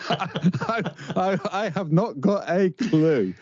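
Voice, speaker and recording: A middle-aged man with a Northern Irish accent, a guest in a conversational interview, speaking haltingly with many restarts. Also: high voice, expressive, darkish tone. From Northern Ireland. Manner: halting, restarts often, earnest. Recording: dull lo-fi dry sound.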